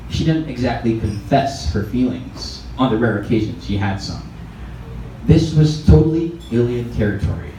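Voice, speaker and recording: A man's voice: medium pitch, dark tone. A man with a Filipino accent reads a story as a narrator at a live event. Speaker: reader at a literary event. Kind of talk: scripted fiction narration. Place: echoey room at a live event. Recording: echoey, distant mic, clearly noisy live event.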